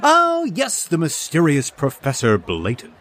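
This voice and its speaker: Pompous, wildly animated storyteller. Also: male voice, a little high, a little slow, full character voice. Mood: pompous, self-assured. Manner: pompous, theatrical. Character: vain, pompous storyteller man, theatrical and self-assured. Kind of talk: comedic audio drama dialogue.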